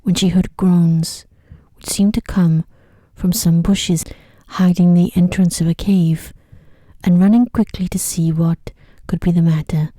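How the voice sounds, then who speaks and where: Very dark, soft-voiced woman reading a bedtime story. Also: fairly low pitch, no room sound. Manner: hushed, gentle, drifting into whispers. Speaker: narrator.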